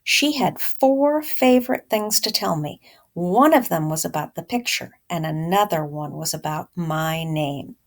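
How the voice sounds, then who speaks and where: A woman's voice: lowish voice, lively intonation, measured pace, gravelly, exaggerated voice. Lady reading as a little boy narrator, wry n plain, telling his storybook memories. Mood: recollecting.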